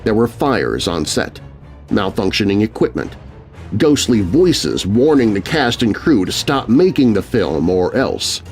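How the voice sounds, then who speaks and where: A man's voice: fairly low pitch, very animated, measured pace, soft background music. A man narrating a scary story for children in a theatrical, suspenseful, scripted style. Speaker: narrator and host.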